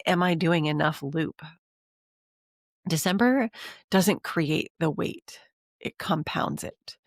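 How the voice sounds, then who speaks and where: A woman's voice: low voice, vocal fry, dry recording, studio quality. A woman hosting a coaching podcast, talking earnestly through a cold, with a congested voice. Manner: earnest, encouraging, repeats lists for emphasis. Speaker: podcast host. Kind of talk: coaching monologue.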